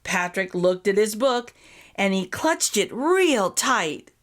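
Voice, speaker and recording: A woman reading a rhyming children's story aloud as an expressive storyteller. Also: mid-range voice, slow. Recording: voice over background music. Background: music.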